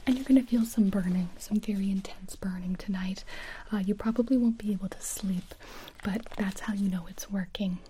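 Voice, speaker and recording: A blunt skincare specialist, whispered by a woman in a comedic ASMR roleplay, talking in a mock-soothing, deadpan way. The whisper is mellow and close, with a faint hiss underneath. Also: medium pitch, brisk, close to an everyday voice, rough recording.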